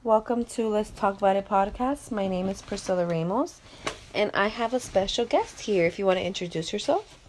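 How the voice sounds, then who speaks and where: A woman in her twenties from Florida hosting a podcast, chatty, with quick follow-up questions. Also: medium pitch, expressive, darkish tone, slight background noise. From Florida, USA. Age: 20s. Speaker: podcast host. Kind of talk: casual interview.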